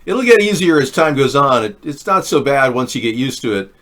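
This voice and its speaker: A man's voice: mid-range voice, sing-song, a little fast, a bit bright. A man hosting a political commentary livestream, talking in a sarcastic, ranting way. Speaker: host.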